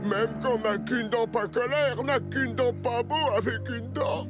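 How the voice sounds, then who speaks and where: An actor voicing a gruff, lonely cartoon creature with a childlike heart, who speaks in broken phrases about itself in the third person. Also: mid-range voice, fairly even intonation, a little slow, close to an everyday voice. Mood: sad, self-pitying. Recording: loud music behind the voice.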